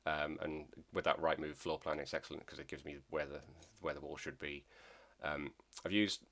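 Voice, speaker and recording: Deep, flat-voiced man, nasal from a cold. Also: normal speed. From Scotland. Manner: hesitant, many ums and restarts. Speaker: model railway hobbyist. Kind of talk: casual hobby video narration.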